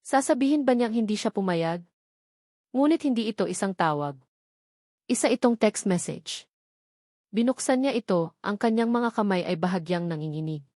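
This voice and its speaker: A woman reads a scripted romance drama as its narrator. Her pitch is middling for a woman. The recording is dry, with hardly any room sound. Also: expressive, normal speed, long pauses, warm tone, dry recording. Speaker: story narrator.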